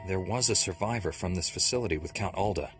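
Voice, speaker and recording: An actor playing an earnest, stoic Jedi Knight hero in a video game cutscene, speaking in a serious, measured way. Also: male voice, deep voice, loud music behind the voice, close to an everyday voice. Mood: questioning.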